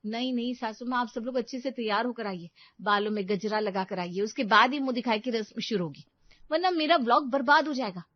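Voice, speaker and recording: Lady doing a showy young cartoon bride, high chirpy voice, bit dull lo-fi sound. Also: brisk, no room sound, close to an everyday voice. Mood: insistent. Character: bossy, vain young bride who vlogs everything. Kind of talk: cartoon moral-story dialogue.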